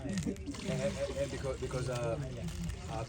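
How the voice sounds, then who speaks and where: A man's voice: mid-range voice, level tone, moderate pace, crowd noise. A man explaining things casually to visitors as a guide, repeating himself and asking rhetorical questions. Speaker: tour guide.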